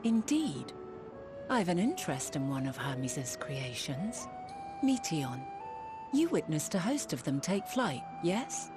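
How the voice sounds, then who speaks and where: A serene ancient scholar, speaking in a very low, grave voice with slow, reverent, old-fashioned phrasing. Her lines come as game cutscene dialogue over a swelling orchestral score. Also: very animated, over music, heavily acted. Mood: gracious. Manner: slow, solemn and reflective, archaic phrasing.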